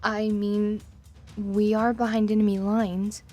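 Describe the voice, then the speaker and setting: A woman's voice: mid-range voice, little pitch movement, slow pace, a little mellow. A woman plays an anxious changeling princess in her mid-teens. She is hesitant and exasperated, a put-upon younger sister protesting in an audio drama over faint music.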